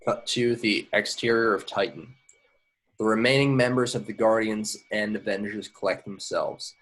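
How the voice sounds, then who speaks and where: A man with a fairly low voice reads action descriptions from a screenplay. The delivery is informal narration, with the odd stumble over words, as at a home table read. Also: steady tone, moderate pace, a bit bright, low-quality recording. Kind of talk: reading screenplay stage directions aloud.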